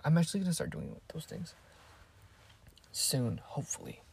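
Rambling eighteen-year-old girl, gravelly. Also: sits low, wildly swooping pitch, normal speed, darkish tone. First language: Spanish. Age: teens. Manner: rambling, chatty, drifts off topic. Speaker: vlogger. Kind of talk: casual chat to camera.

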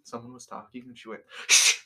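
A woman's voice: extremely low pitch, flat delivery, fast talker, pushed and bright. A young woman co-hosting a podcast, trading playful, mock-dramatic jokes in casual chat with a friend. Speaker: podcast co-host.

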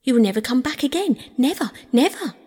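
Woman as an earnest, animated storybook rat. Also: high-pitched, brisk, slightly rough, in a large hall, pitched above the performer's own voice. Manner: emphatic, exasperated, old-fashioned speech. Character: earnest, scolding, excitable male river rat, loyal friend.

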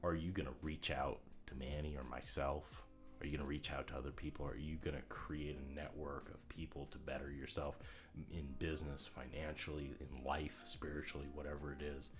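A man's voice: extremely low pitch, little pitch movement, brisk, music in the background. A young man co-hosting a casual podcast, rambling and repeating words as he starts sentences, laughing often. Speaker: podcast co-host.

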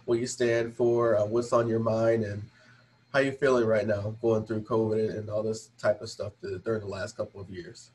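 A man's voice: fairly low pitch, level tone, normal speed, a little mellow. Informal male show host. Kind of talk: casual interview, sometimes reading an article aloud.

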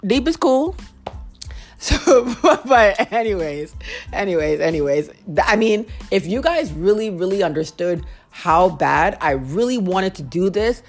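A young woman hosting her own podcast, talking casually and earnestly, with a naturally low-toned voice and a habit of repeating phrases for emphasis. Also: big pitch swings, unhurried, soft background music. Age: young adult. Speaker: podcast host. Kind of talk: casual heartfelt monologue.

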